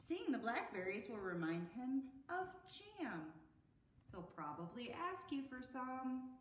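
A middle-aged American woman reading a picture book aloud to children in an expressive, sing-song way. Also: on the high side, measured pace. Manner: sing-song, expressive, addressing young listeners. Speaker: children's storytime host. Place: indoor room at an arts center. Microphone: camera a few metres away. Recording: roomy echo, distant camera mic.

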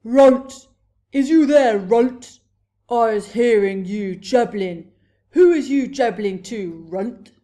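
A woman voicing a huge, gruesome male giant in a storybook, a booming brute who bellows in broken grammar and nonsense insults. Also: animated, slow, mellow. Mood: booming, suspicious. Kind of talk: storybook read-aloud character voice.

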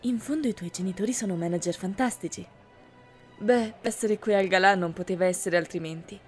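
A woman playing a polite, haughty high-school girl from a wealthy family in an anime-style roleplay, speaking in formal, genteel phrases. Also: a little fast, close to an everyday voice. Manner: formal, genteel, carefully worded. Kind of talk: scripted anime fan-dub roleplay. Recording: music behind the voice.

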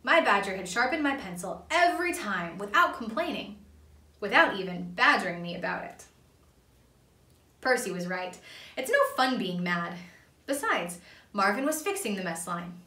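A woman's voice: mid-range voice, sing-song, moderate pace, sharp tone. A young woman reading a children's storybook aloud expressively, recorded in a small room a little away from the microphone. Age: young adult. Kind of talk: expressive read-aloud narration. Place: small indoor playroom. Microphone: camera a few feet away. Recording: roomy, slightly distant microphone.

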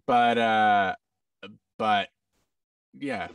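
An American man in his thirties chatting casually as an interview guest, in a halting, self-effacing manner. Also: on the low side, clear, resonant tone, dry recording, recorded from a distance. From United States. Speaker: guest, voice actor. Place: office room indoors, video call. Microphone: boom microphone and headset on a video call.

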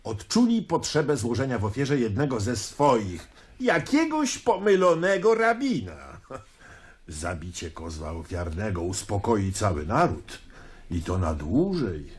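An actor in a radio drama playing a sardonic, cynical Roman traveler with a deliberate delivery. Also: male voice, lowish voice, wildly swooping pitch.

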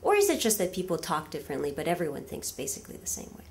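A woman whose first language is Russian gives a lively academic lecture from a lectern in a large hall, with frequent fillers and rhetorical questions to the audience. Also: on the low side, racing.

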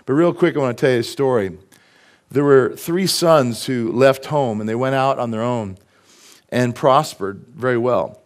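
A middle-aged pastor with a cold speaks from a church stage at a mid-range pitch. He preaches in a chatty way, often asking the congregation questions, and mixes a joke or two into the sermon. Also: moderate pace. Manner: conversational, asks the congregation questions. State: has a cold. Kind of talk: sermon with jokes.

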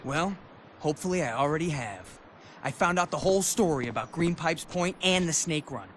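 Young skater dude, high voice, animated, brash, excitable, video game dialogue. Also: male voice, moderate pace. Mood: uneasy. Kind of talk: video game cutscene acting.

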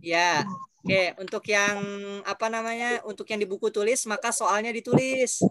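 A man's voice: high voice, steady tone, moderate pace, fairly bright tone, smooth, no echo. A male teacher explaining a lesson to his class on a video call, patiently repeating instructions.

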